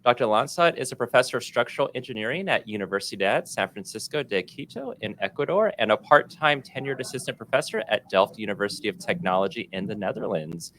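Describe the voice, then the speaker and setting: A man's voice: medium pitch, a bit bright, a little hiss or hum. A man hosting an interview podcast, upbeat, with frequent fillers like "you know" and "um". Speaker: podcast host.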